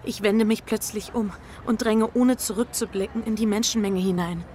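An actress playing an anxious, guilt-ridden wife in an intense radio drama inner monologue. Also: female voice, mid-range voice, normal speed, darkish tone, slight background noise, lightly acted. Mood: driven, tense.

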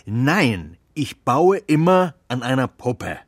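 An actor in a children's radio play playing a humble, good-natured old puppet maker who speaks hesitantly, muttering interjections. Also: male voice, mid-range voice, very animated, slow. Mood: hesitant, moved.

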